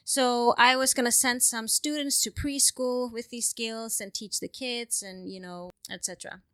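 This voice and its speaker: A woman's voice: fairly high pitch, fairly even intonation, moderate pace, sharp tone, smooth, dry recording. A woman in her thirties from Iceland with an Icelandic accent, a guest in a casual interview who jokes and laughs often. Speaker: guest researcher. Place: remote studio.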